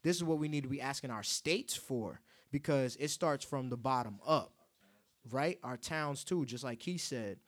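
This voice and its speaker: A man's voice: medium pitch, animated, moderate pace. A man co-hosting a casual conversation. His mid-range voice takes on a passionate, preaching tone as he presses his points. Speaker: co-host.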